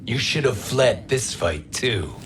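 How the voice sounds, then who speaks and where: An actor playing a proud male wind god in a video game cutscene, measured and formal with a wry edge. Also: medium pitch, expressive. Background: game score music.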